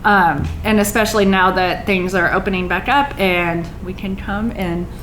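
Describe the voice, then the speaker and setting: Woman podcast host, casual interview, enthusiastic, chatty, medium pitch, noticeable room echo. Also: moderate pace, fairly bright tone. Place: on the stage of a small theater. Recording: roomy echo, faint background noise.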